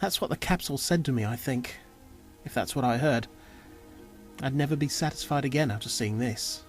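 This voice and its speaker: An earnest young alien runaway , acted by a man in a romantic audio drama. He is smitten and easily flustered, rambling hesitantly with sincere emphasis. Music plays behind his medium-pitched voice. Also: moderate pace, natural voice. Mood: reflective. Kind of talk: audio drama character dialogue.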